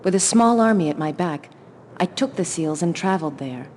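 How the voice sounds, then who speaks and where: A woman's voice: fairly low pitch, lively intonation, moderate pace, a little hiss or hum, close to an everyday voice. A woman voicing a solemn, determined female leader, narrating a game cutscene in a grave, formal manner. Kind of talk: dramatic scripted game narration. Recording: loud music under the voice. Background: cinematic game music.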